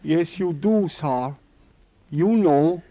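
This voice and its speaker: A man's voice: a little high, extremely slow, dark tone. A man playing a proud, watchful servant-mechanic in an old-time radio drama, speaking formally and deferentially. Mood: quietly challenging. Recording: dry, dull vintage radio sound.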